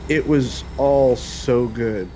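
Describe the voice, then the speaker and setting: A man's voice: mid-range voice, slow, dark tone, a little hiss or hum, low-quality recording. A man chatting casually with friends while driving in a truck game, rambling through a story. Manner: rambling, restarts stories. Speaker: convoy player. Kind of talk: casual chat over voice chat.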